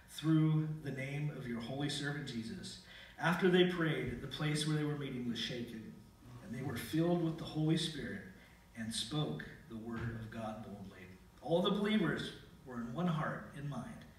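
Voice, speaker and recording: A man's voice: medium pitch, animated, moderate pace. A middle-aged man preaching a sermon and reading scripture aloud with earnest emphasis in a church sanctuary. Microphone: pulpit mic, filmed from a far camera. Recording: very echoey hall from far off.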